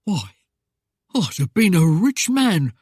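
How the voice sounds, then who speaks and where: Male reader doing a whiny, blustering small-town mayor, pitched up high and pushed loud. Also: sing-song, slow, slightly rough, dry recording, clean studio sound, exaggerated voice. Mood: wheedling. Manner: whining, wheedling, coarse dialect speech. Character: blustering, greedy, cowardly middle-aged male mayor. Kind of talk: audiobook character voice.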